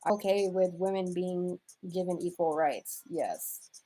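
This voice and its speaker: Mellow-voiced American woman chatting casually. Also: on the low side, measured pace, low-quality recording. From United States, raised in Houston, Texas. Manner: wry, irreverent, informal with slang. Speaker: live-stream host. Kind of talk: casual chat, reading and answering viewer comments.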